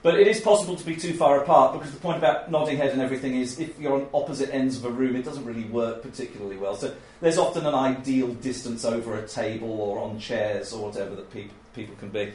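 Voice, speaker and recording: A man with a loud voice giving an informal training talk on interviewing, chatty and often laughing as he acts out example questions. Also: medium pitch, fast talker, few pauses, slight background noise. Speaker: oral history trainer. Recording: echoey room.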